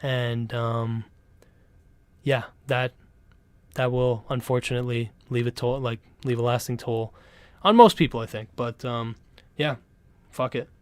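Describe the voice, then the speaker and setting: A man's voice: medium pitch, fairly even intonation, measured pace, long pauses, clean tone, dry recording. A young Canadian man streaming from home, chatting casually and rambling with lots of swearing and restarts. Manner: rambling, profane, laid-back, full of restarts. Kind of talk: casual live-stream chat.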